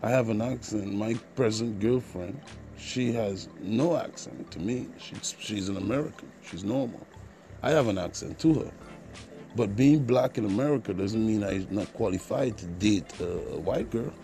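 Low, mellow Caribbean man podcasting. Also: moderate pace, slight background noise. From Trinidad and Tobago, partly Jamaica. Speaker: podcast host. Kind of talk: informal podcast monologue.

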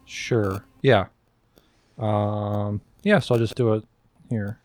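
A man's voice: lowish voice, steady tone, slow pace, darkish tone, dry recording. A man in his thirties chatting casually as a podcast co-host. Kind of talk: casual chat.

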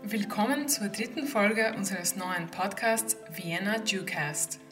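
A woman's voice: medium pitch, slow pace. A woman co-hosting a podcast who introduces the episode and asks friendly interview questions. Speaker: podcast co-host. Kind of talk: casual podcast chat. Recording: roomy, distant, faint music.

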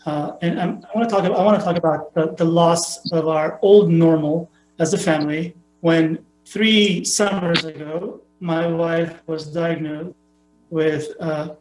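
A man's voice: on the high side, steady tone, measured pace, darkish tone, echoey room, faint music behind, poor audio. A middle-aged man, a pastor, speaking reflectively and thinking aloud.

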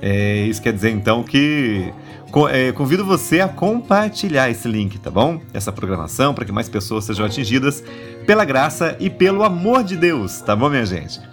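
A man's voice: medium pitch, sing-song, moderate pace, faint music behind. A man with a Brazilian accent hosting a casual religious podcast, chatty and full of little tag questions, his voice nasal from a stuffy cold. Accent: Brazilian Portuguese. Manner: chatty, warm asides, many tag questions. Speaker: podcast host.

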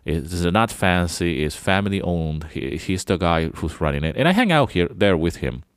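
Very deep-voiced man rambling. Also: lively intonation, moderate pace, clean tone, no echo, clean studio sound. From Venezuela. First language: Spanish. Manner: rambling, loose and chatty. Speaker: podcast host. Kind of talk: casual reflective monologue.

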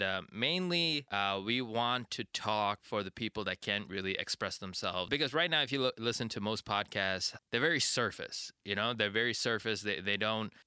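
A man in his late twenties co-hosting a casual podcast, with a bright, crisp voice that is a little low. He rambles in an upbeat way and laughs often. The sound is dry and close. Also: dry recording. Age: 20s. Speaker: podcast co-host. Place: small home studio.

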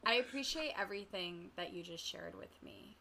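A woman's voice: mid-range voice, animated, moderate pace, vocal fry, sharp tone. A young woman acting as interviewer, asking prepared questions in formal, appreciative wording, with some background noise. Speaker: interviewer, interviewing own father.

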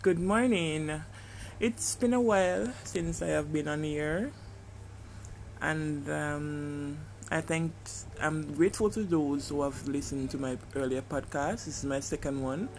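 Gravelly Jamaican man, hesitant and reflective. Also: fairly high pitch, a little slow, slight background noise, rough recording. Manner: hesitant, reflective, searching for words. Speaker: podcast host. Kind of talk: casual personal monologue.

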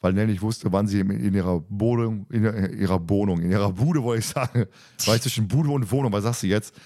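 A man with a fairly low voice talks very quickly as he moderates a casual podcast. He is chatty and teasing, and the sound is dry and close. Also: dark tone, dry recording. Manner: joking, teasing, talkative. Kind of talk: casual podcast chat and announcements.

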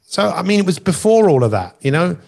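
A man's voice: high-pitched, big pitch swings, fairly quick, gravelly, a little mellow. A middle-aged man speaking as a life coach and host, giving conversational, motivational talk with emphatic, rambling asides over an online call.

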